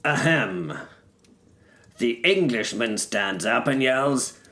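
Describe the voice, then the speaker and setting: Deep, slow, urbane gentleman, acted. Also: heavily acted. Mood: grandly theatrical. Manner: theatrical, wry, formal. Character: urbane, pompous gentleman narrator, self-styled practised orator. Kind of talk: scripted first-person story narration.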